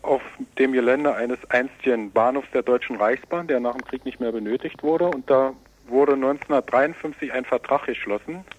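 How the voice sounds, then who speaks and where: A man's voice: mid-range voice, moderate pace, a little hiss or hum, poor audio. A man giving explanatory interview answers in formal, legalistic wording, hesitating often. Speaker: newspaper representative being interviewed.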